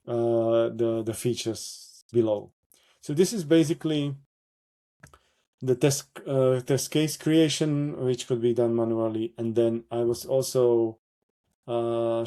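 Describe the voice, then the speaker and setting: Male workshop presenter, Slovak accent, dark relaxed tone, halting and full of restarts. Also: mid-range voice. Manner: halting, many fillers and self-corrections. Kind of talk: live software demo walkthrough.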